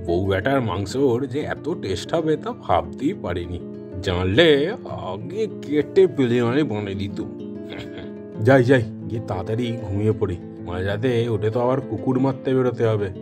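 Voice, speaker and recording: A man's voice: medium pitch, expressive, moderate pace, music in the background, natural voice. A man voicing a greedy, murderous male biryani seller in a cartoon, gloating and cackling. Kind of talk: Bengali cartoon dubbing.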